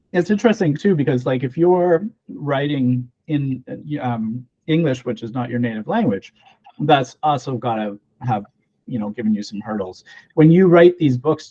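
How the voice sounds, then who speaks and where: A man's voice: mid-range voice, warm tone. Casual male podcast host, slightly muffled. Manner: casual, lots of fillers like um and you know. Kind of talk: podcast interview. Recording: slightly dull, lo-fi sound.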